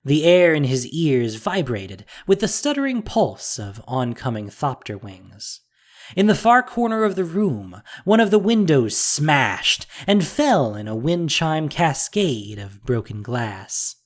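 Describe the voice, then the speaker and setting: A narrator with a measured, dramatic storytelling manner, reading a scripted audio drama. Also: mid-range voice, sing-song. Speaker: podcast narrator and producer.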